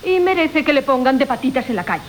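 A high-voiced haughty, scheming widow. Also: female voice, very animated, fast talker. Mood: grasping, haughty. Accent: Castilian Spanish. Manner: tart, imperious, coquettish. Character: haughty, scheming widow, flirtatious and sharp-tongued. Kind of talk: theatrical Golden Age comedy radio acting. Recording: hissy 1980 radio drama recording.

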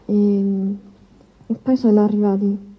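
A woman's voice: medium pitch, level tone, husky. An actress playing a young woman, shaken but defiant, testifying as a victim in a courtroom drama. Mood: shaken, halting. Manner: hesitant, halting testimony. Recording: slightly dull, muffled sound.

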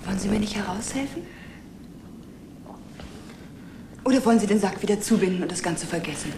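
A woman's voice: medium pitch, lively intonation, racing, noisy background, lightly acted. An actress playing a bold, dreamy stowaway woman in a radio play, speaking in a poetic, dramatic and coolly teasing way. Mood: cool, demanding. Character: dreamy, bold female stowaway, theatrically romantic and self-possessed. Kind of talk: German radio play acting.

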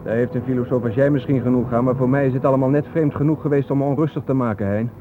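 A man's voice: mid-range voice, fairly even intonation, racing, close to an everyday voice. Man acting troubled family man, tinny radio play. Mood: wry unease. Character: weary, troubled family man wanting to be left alone. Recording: narrow phone-like old radio recording, faint hiss.

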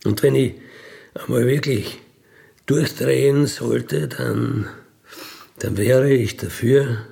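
An older man with an Austrian accent telling a reflective personal story in a halting, colloquial way with little questioning tags. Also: medium pitch, gravelly, warm tone. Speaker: former prisoner telling their life story. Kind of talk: reflective autobiographical monologue.

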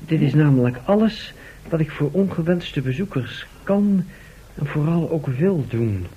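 A wary gentleman adventurer in a radio play. His voice is fairly high and his delivery measured and matter-of-fact, on a dull, faintly hissy old recording. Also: lively intonation. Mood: grave reading. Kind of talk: radio drama acting.

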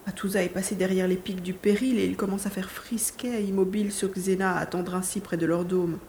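A woman's voice: mid-range voice, steady tone, brisk, darkish tone, echoey room, slight background noise, lightly acted. A woman playing a determined young boy hero in an audio drama, thinking aloud as he acts. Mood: watchful. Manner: thinks aloud, narrating own actions.

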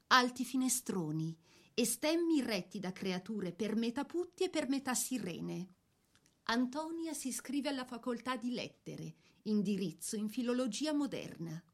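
A woman performing as a theatrical narrator, presenting a life story in scripted, measured phrases with pointed pauses. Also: fairly high pitch, heavily acted. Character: woman narrator presenting a dead poet's life through photos. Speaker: narrator in a staged biography of a poet. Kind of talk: scripted dramatic narration, showing photographs.

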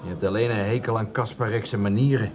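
A man acting in a radio play as a down-at-heel small-time crook, an eager, deferential follower who gets excitable. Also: on the low side, fairly quick, natural voice. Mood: admiring, defensive.

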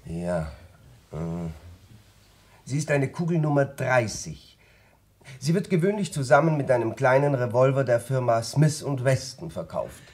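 Precise expert, widely swinging pitch. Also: male voice, very animated, darkish tone. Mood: matter-of-fact. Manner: deliberate, precise. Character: methodical middle-aged male firearms expert witness. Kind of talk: German radio crime drama acting.

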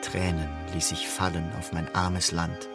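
Broken king in a radio play, gravelly, swings from whisper to outcry, music behind. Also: low-pitched, completely flat delivery, measured pace. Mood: brooding, melancholy. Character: despairing, mentally confused king pleading with God. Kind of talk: literary radio play monologue.

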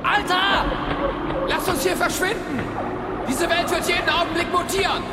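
An actor playing a bossy, excitable young gamer adventurer, urgent and slangy, in a radio drama. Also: normal speed, over a noisy crowd. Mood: alarmed, urgent. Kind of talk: German radio drama acting. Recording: loud music and rumble behind.

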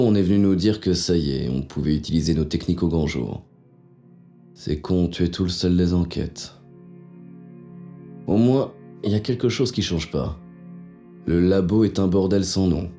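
An actor playing a cynical, contemptuous police inspector who narrates a noir audio drama in a deadpan, sardonic, gravelly voice. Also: male voice, low voice.

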